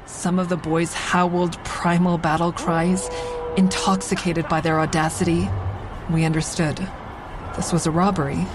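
A woman's voice: fairly low pitch, level tone, normal speed, noisy background, lightly acted. A woman playing a shaken survivor narrating a train robbery in a scripted audio drama. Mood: grave, tense. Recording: music behind narration. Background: dramatic score and train sound effects.

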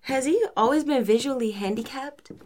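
An actress playing a concerned, professional hospital medic in an audio drama, measured and clinical. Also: female voice, high voice, a little mellow, natural voice. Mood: grave concern.

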